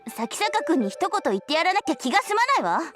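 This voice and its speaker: An actress voicing a blunt, fiery young female college student in a visual novel, brisk and sharp. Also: high-pitched, big pitch swings. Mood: determined. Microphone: studio recording played from the game. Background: soft game music.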